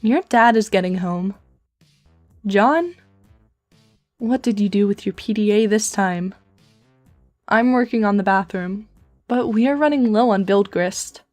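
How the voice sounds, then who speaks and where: A woman's voice: mid-range voice, a little slow, pauses often, a little mellow, faint music behind, lightly acted. A woman playing a dry, composed, verbose teenage girl with wry, articulate delivery in a fan webcomic dub. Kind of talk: fan comic dub acting.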